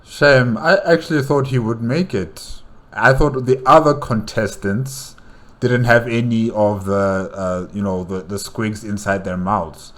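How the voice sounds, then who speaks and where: Slow-talking man reacting casually. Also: fairly low pitch, fairly bright tone. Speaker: reaction channel host.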